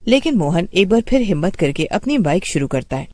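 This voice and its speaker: A woman's voice: fairly low pitch, very animated, a little fast, a little mellow, no echo. A woman storyteller reading a scripted horror tale as narrator.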